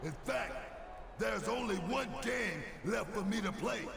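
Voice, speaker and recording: A man's voice: high-pitched, lively intonation, vocal fry, full character voice. A man playing a brash, boastful pro wrestler in a video game, delivering a declamatory challenge. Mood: defiant. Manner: declamatory, slow promo delivery. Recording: echoey, very noisy arena game audio.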